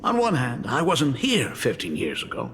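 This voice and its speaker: A man voicing a doting, fretful middle-aged father and academy dean in a video game, with expressive, theatrical delivery. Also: medium pitch, moderate pace, in a large hall, a little hiss or hum. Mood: formal.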